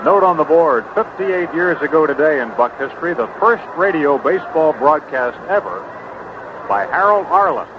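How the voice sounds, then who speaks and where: A man's voice: fairly high pitch, expressive, moderate pace, lo-fi sound, noisy background. A man calling baseball play-by-play live from a stadium booth on a vintage radio broadcast. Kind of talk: live radio sports commentary.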